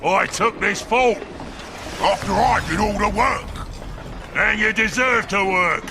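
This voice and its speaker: An actor playing a cunning, brutish Ork war boss in a game, gravelly and harsh, with rough slangy bluster. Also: male voice, high voice, slow, reverberant, big-room sound, noisy background, heavily acted. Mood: angry bellowing. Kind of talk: cartoonish game villain acting.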